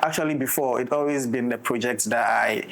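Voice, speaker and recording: A man's voice: mid-range voice, brisk, vocal fry, a bit bright. Ghanaian man hosting a casual discussion. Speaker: director, discussion host. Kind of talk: casual roundtable chat.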